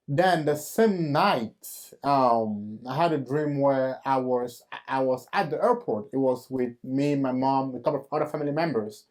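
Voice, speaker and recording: A man, hosting and giving his own faith testimony in an earnest, halting storytelling manner with fillers and restarts. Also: medium pitch, darkish tone. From Cameroon. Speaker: host.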